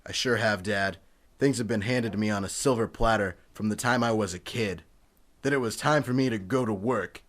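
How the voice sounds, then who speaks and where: Earnest young crime-fighting publisher, lowish voice. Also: male voice, normal speed, fairly bright tone. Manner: earnest, forthright, declaiming. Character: earnest, resolute young publisher, secretly a masked vigilante. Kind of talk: old-time radio drama acting.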